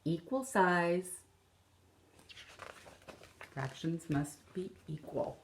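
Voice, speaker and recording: Slow, low-pitched woman teacher reading to children. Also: slight background noise. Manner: deliberate, instructive, emphasizing key words and counting aloud. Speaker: elementary teacher. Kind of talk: children's picture book read-aloud with explanations. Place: room at home.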